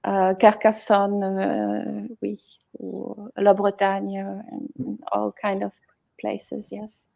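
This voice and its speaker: A woman co-hosting a casual live chat about raising multilingual children, speaking in a measured, thoughtful way. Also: medium pitch, monotone, creaky, dark tone.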